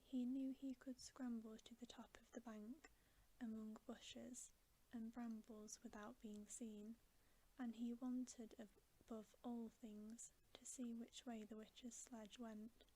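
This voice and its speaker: Slow, whispering woman reading a bedtime story. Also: fairly high pitch, flat delivery, a little hiss or hum, poor audio. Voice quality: soft, breathy, mostly whispered. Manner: gentle, unhurried, soothing. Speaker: ASMR storyteller. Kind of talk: whispered ASMR bedtime reading of a children's novel.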